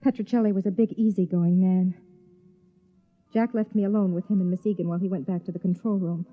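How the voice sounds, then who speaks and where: Anxious, stubborn young wife in a vintage radio drama, played by an actress, tinny narrow sound, music behind. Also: female voice, fairly low pitch, fairly even intonation, a little fast, close to an everyday voice. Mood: wistful narration. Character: anxious pregnant young wife narrating, stubborn, guilt-ridden. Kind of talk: 1950s radio drama acting.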